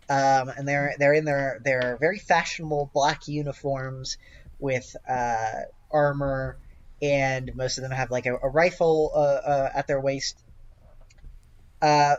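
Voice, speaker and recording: A man with a medium-pitched voice acts as game master, describing scenes in a slow, halting way. He improvises his narration and often hesitates as he goes. The recording is slightly dull.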